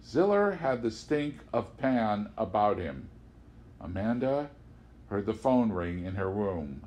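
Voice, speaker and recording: Expressive male storyteller reading literary fiction. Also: on the low side, takes it slowly. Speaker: audiobook reader. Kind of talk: literary prose narration, read aloud.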